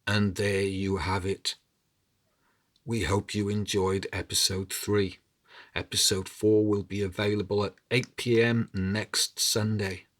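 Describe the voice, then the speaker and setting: A man narrating as an oral storyteller, theatrical and deliberate, in short measured phrases. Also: fairly low pitch, flat delivery, a bit bright. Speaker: storyteller, podcast host.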